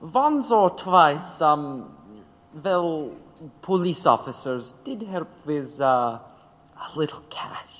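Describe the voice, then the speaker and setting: An actor playing a nervous, evasive witness in a courtroom audio drama, hesitant and stammering. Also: male voice, a little high, lively intonation, takes it slowly, a bit bright. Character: nervous, evasive male witness, a German activist. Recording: long hall reverb.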